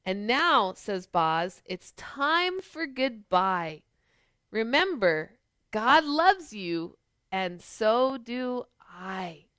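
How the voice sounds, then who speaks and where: A middle-aged woman, a children's pastor, hosting an animated storytime for preschoolers and speaking to them with questions. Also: a little high, extremely slow, dry recording, clean studio sound. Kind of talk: storytime for preschoolers, chat and picture-book reading. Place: small living room at home, on a couch.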